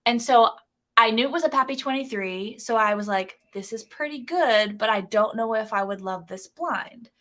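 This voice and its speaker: A woman co-hosting a relaxed livestream about whiskey, speaking openly and earnestly with a hint of self-consciousness. Her voice is bright and sharp. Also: on the high side, moderate pace. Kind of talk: casual livestream chat.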